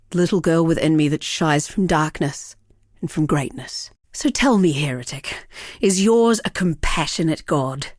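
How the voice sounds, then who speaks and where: A proud, brooding sardonic villainess. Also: female voice, deep voice, no room sound, clean studio sound. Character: proud sardonic villainess, fierce talented killer, world-weary. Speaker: voice actress in a video game. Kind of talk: dramatic scripted game dialogue.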